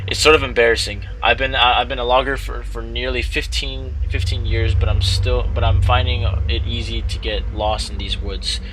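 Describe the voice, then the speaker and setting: A man's voice: medium pitch, flat delivery, moderate pace, few pauses. Man stumbling through a read-aloud, noisy background. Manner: stumbling, repeats and restarts phrases while reading. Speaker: gaming video host. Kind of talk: halting read-aloud of an interactive story with asides.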